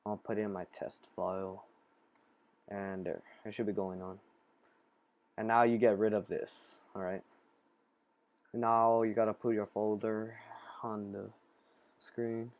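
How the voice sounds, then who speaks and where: Low-voiced man giving a casual tutorial. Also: level tone, a little mellow. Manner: halting, improvised step-by-step explaining. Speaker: tutorial host. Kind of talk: casual screen-recorded software tutorial.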